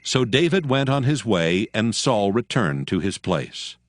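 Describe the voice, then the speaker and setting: A man's voice: fairly low pitch, sing-song, measured pace, dry recording, clean studio sound. A man narrating scripture from a script in a solemn, formal storytelling manner.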